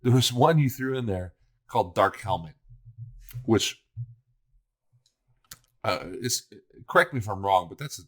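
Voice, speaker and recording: A man's voice: lowish voice, animated, measured pace, long pauses. A man co-hosting a video show in casual, opinionated chat, emphatic, repeating himself for effect and swearing freely.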